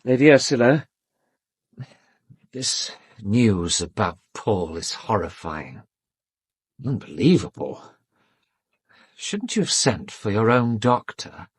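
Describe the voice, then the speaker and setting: An actor playing a polite, solicitous and successful obstetrician in a radio drama, with an educated British accent and a deferential, hesitant manner. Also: male voice, medium pitch, breathy edge, no echo, studio quality, heavily acted. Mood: shocked, concerned. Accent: educated British English.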